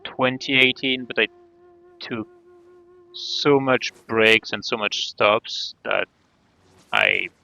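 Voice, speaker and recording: A man chatting casually on a game livestream, halting often as he thinks aloud. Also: mid-range voice, wildly swooping pitch, gravelly, bright, forceful tone, no echo. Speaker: game streamer. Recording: dull band-limited mic, faint music.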